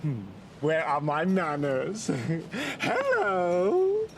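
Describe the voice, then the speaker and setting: Loony pious old man in a game, high voice, slow drawn-out theatrical rambling, music behind. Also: huge pitch swings, background noise, heavily acted. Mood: amused, whimsical. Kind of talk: dramatic video game acting.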